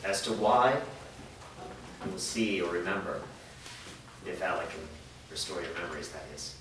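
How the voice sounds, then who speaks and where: A man plays a guilt-ridden father in a fantasy drama, worried and earnest. His halting, fairly low voice is heard from a distance in a heavily echoing room. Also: lively intonation, fairly bright tone. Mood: regretful. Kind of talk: staged reading of a fantasy drama. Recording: echoey room, distant mic, clear noise.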